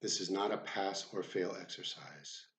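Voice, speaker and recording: A man guiding a meditation as an instructor, speaking in a measured, deliberate way with frequent pauses. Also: low voice, a bit bright, roomy sound, a little hiss or hum. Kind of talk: guided body scan meditation instruction. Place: room with the door left open.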